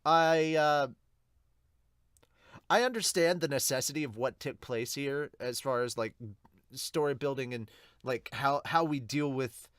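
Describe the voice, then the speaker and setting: Male podcast host, mid-pitched, loose and informal, rambles through off-the-cuff reviews, close dry sound. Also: a little slow, pauses often, fairly bright tone, clear, resonant tone, no echo. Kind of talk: casual unscripted reaction and review.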